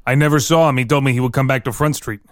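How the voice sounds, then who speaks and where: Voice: medium pitch, steady tone, quick pace, no echo, clean studio sound, lightly acted. An actor reading the part of an evasive prisoner, a German immigrant murder suspect, giving short guarded answers in a dramatic reading. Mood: evasive, terse. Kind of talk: dramatic reading of an interrogation transcript.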